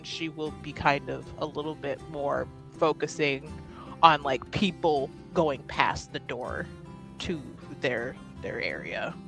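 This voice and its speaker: A woman's voice: sits low, gravelly, fairly bright tone. A woman playing a tabletop roleplaying game, chatting and narrating casually in an informal, jokey way and often laughing.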